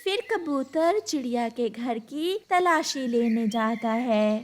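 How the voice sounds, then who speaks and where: A woman's voice: on the high side, lively intonation, slow pace. A woman narrating a scripted children's moral story, with faint noise in the recording.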